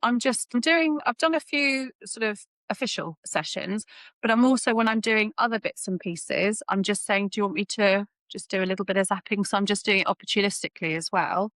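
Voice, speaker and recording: A woman's voice: medium pitch, brisk, fairly bright tone, dry recording. A woman chatting casually as a healthcare professional on a mentoring call.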